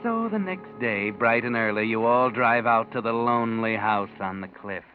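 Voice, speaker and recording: A sly, ominous storyteller played by a man narrates an old radio mystery with insinuating menace. Music plays clearly behind his voice, all in a tinny vintage recording. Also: mid-range voice, animated, moderate pace, natural voice. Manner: sly, insinuating, second-person address. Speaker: narrator and host.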